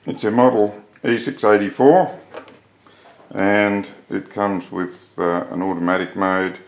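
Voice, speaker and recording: A man's voice: on the low side, fairly even intonation, a little slow. An older man, a hobby welder, chatting plainspoken and self-deprecating as he reviews gear in a workshop shed. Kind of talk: casual product review.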